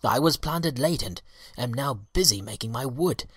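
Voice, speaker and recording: A man voicing a patient, steady wallflower in a children's storybook, speaking firmly and without hurry. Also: medium pitch, husky, no echo, studio quality, voice pushed up. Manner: patient, firm, unhurried. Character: patient young male wallflower plant, calm and steady.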